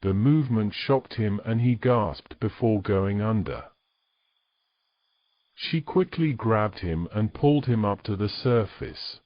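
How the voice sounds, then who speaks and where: A man's voice: lowish voice, lively intonation, slow, dull audio. A man narrating a scripted story as the narrator of an audio drama.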